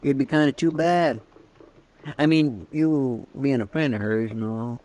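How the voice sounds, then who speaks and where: A man's voice: mid-range voice, a little slow, a little mellow, natural voice, rough recording. A man playing a naive, earnest marshal's sidekick in an old-time radio western, talking in a folksy, deferential way. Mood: sympathetic, curious. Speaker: radio drama actor. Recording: vintage radio drama recording.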